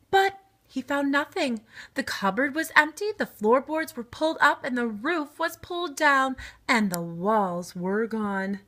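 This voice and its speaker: A woman narrates a children's storybook aloud. Her voice is fairly high and very animated, and she takes her time, giving an expressive reading at a slow pace. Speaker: storybook reader.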